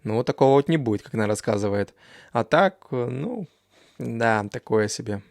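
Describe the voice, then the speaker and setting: A man's voice: mid-range voice, lively intonation, normal speed, gravelly, heavy background noise. A man hosting a reaction video, talking in a casual, dismissive way with slang and fillers.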